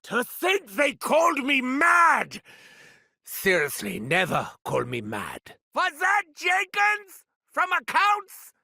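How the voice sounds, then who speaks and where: An actor playing a manic, gleeful, sadistic mad scientist who taunts in hammy exclamations for a video game. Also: male voice, huge pitch swings, takes it slowly, vocal fry, pushed and bright, exaggerated voice. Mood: manic indignant. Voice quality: high, strained, theatrical voice. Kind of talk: video game character barks. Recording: long hall reverb.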